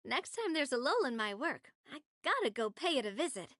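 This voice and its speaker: High, animated friendly female game character. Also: fairly quick, no echo, clean studio sound. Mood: casual, interested. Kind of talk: scripted video game dialogue.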